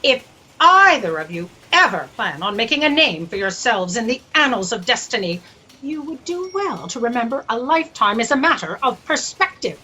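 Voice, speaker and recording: A woman's voice: on the high side, very animated, normal speed, bright, forceful tone, breathy edge, exaggerated voice. A woman playing an exasperated cosmic editor-in-chief in a satirical drama, pompous and scolding. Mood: imperious, exasperated. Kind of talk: sci-fi satire audio drama. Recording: slightly noisy video-call audio.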